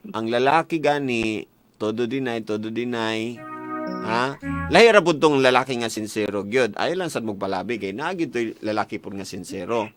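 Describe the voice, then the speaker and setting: A male radio host with a Cebuano Filipino accent gives casual love advice in a playful storytelling manner. His medium-pitched voice sits over clearly audible background music, as on a broadcast.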